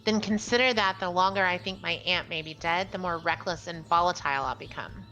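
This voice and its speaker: A woman's voice: fairly low pitch, brisk, sharp tone, soft background music. A woman voicing a defiant, desperate human captive from a visual novel, insistent and demanding.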